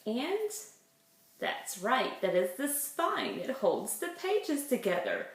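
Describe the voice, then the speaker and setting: A woman speaks as a preschool teacher in a roomy, echoey home recording. Her delivery is slow and very animated, built around simple questions and clear emphasis on words for young children, as in a circle-time read-aloud. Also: fairly high pitch. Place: indoors in a room at home.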